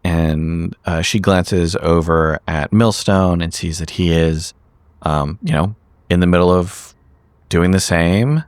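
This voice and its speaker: A man improvises narration as a player in a tabletop game. His deep voice is recorded dry, and he goes haltingly, thinking aloud as he builds each sentence. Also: clear, resonant tone, no echo.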